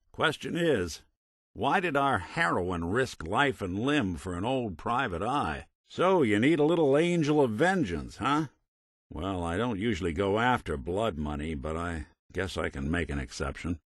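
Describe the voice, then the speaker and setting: A world-weary android private eye with a low American voice that rises and falls with lively swings. He is gruff but decent, dryly sardonic, and speaks in old-time hard-boiled gumshoe style for a video game, recorded close and dry. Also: no room sound, heavily acted. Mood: wry. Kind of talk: noir hard-boiled detective game dialogue.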